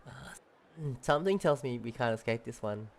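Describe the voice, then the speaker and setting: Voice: medium pitch, expressive, a little fast, slight background noise. A game streamer giving casual live reactions, musing in an easygoing, hesitant way.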